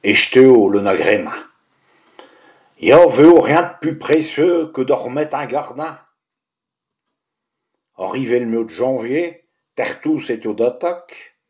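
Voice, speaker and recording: A man's voice: mid-range voice, animated, slow pace, pauses often, a little mellow. A man with a Picard accent from the Tournai area, reciting a monologue in dialect. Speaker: monologue performer.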